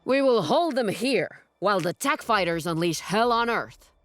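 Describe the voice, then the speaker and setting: An actress playing a blunt, skeptical field officer in a video game, speaking in a businesslike, dry way. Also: female voice, medium pitch, animated, moderate pace, no echo, soft background music, close to an everyday voice. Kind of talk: scripted video game acting.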